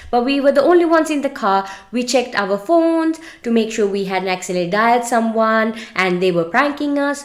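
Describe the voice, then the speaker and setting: Echoey-room woman chatting and telling stories. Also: medium pitch. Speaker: podcast co-host. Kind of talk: casual chat and reading stories aloud. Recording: roomy, echoey sound.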